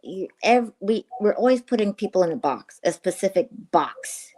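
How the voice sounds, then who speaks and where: A woman co-host on a morning talk show who speaks candidly and in a relaxed way. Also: medium pitch, moderate pace. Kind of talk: casual radio talk.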